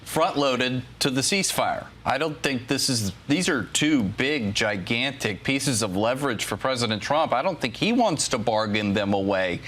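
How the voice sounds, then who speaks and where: A man's voice: medium pitch, lively intonation, normal speed, hardly any pauses, fairly bright tone. An American man speaking as a national security analyst, giving unscripted commentary as a news guest.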